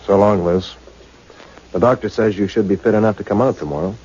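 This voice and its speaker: An actor playing an eager, loyal, plain-spoken assistant in a radio play, informal and excitable. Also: male voice, fairly low pitch, fairly quick, breathy edge. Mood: friendly. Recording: muffled, noisy radio play recording.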